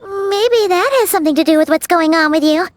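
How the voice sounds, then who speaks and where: A woman voicing a small, excitable childlike fairy companion in an anime game, chatty and exclamatory with hesitant asides. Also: very high-pitched, brisk, no echo. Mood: worried, pondering. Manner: speaks of self in third person, exclamatory, hesitant asides.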